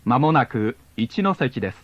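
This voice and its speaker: A man reading scripted onboard train announcements formally and politely in measured phrases, on a lo-fi cassette recording. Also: mid-range voice, big pitch swings, no echo. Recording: dull lo-fi cassette tape.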